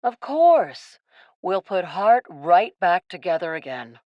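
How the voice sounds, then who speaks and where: Sugary, scheming villainess captain. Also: female voice, lowish voice, very animated, slow pace, no echo, lo-fi sound. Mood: sly, coaxing. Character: falsely kind, scheming cannibal captain woman. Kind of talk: radio play acting.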